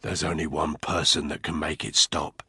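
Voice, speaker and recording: A male narrator voices a hard military operative from a thriller audiobook. He is curt and menacing, with a low, husky voice recorded dry and close. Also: little pitch movement, moderate pace, pushed and bright, dry recording, heavily acted. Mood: cold, menacing.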